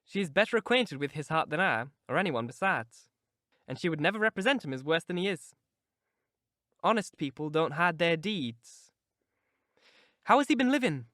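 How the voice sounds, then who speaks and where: A man's voice: high-pitched, bright, forceful tone, dry recording, studio quality, exaggerated voice. A man reading a novel aloud, voicing a sensible middle-aged housekeeper who speaks in an earnest, cautioning way.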